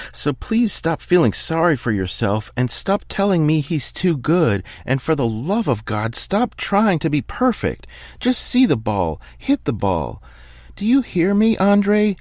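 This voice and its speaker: A man voicing a furious male tennis coach who shouts, scolds and pleads with his player. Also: on the high side, no echo, studio quality, voice pushed up.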